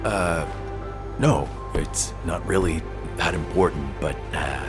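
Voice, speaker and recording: An actor playing a gentle, loyal soldier in a video game, hesitant and earnest in his delivery. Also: a bass voice, slow pace, music in the background, heavily acted. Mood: shy, hesitant. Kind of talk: dramatic video game acting.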